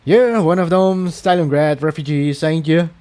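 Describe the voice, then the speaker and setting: A man's voice: fairly high pitch, moderate pace, a little mellow, clean tone, no room sound, voice pushed up, lightly acted. A man voicing an elderly, plainspoken rustic pony with folksy country phrasing in a fantasy story. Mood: blunt, folksy.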